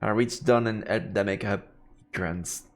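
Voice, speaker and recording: A man's voice: fairly low pitch, steady tone, normal speed, faint music behind. A man doing a let's play, reading game narration aloud and reacting casually as he goes.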